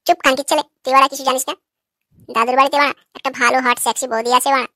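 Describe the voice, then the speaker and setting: A brash young cartoon lad, acted by a performer, with a high, bright voice. He rattles along quickly, cocky and crude, teasing like a street prankster. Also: steady tone, no room sound, clean studio sound.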